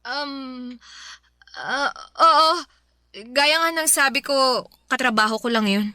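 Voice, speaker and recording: A woman's voice: high voice, takes it slowly, pushed and bright, clean tone, no echo, studio quality, exaggerated voice. An actress playing an evasive, easily irritated young girlfriend in a radio drama, with a Filipino accent. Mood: nervous, evasive. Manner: defensive, scolding. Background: faint music.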